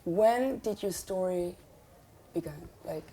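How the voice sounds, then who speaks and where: A young woman talks slowly and hesitantly in a casual conversation. Her voice sits fairly low and has a warm, mellow tone. She often pauses with "um". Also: slight background noise, rough recording. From Switzerland. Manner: unhurried and hesitant, with many "um"s. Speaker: musician guest.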